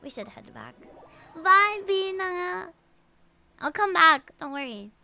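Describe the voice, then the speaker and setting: High, bright-voiced young woman streaming. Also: big pitch swings, slow pace, creaky. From Philippines. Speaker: game streamer. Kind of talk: live stream chat, reading game dialogue aloud. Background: faint game music.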